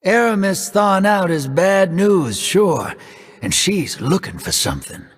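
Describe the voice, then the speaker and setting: An actor playing a wry, easygoing outlaw ally in a video game. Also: male voice, high-pitched, sing-song, a little slow, slightly rough, full character voice. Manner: wry, drawling. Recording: long hall reverb.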